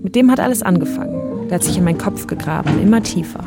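A woman's voice: mid-range voice, expressive, fast talker. A woman hosting a podcast, telling a scripted story in a conversational narrating style. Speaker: podcast host. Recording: music bed under voice. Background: background music.

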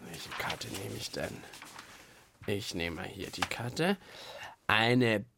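A man's voice: lowish voice, animated, takes it slowly, vocal fry, background noise. A man co-hosting a children's podcast in casual, playful banter, often exclaiming. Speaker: podcast co-host.

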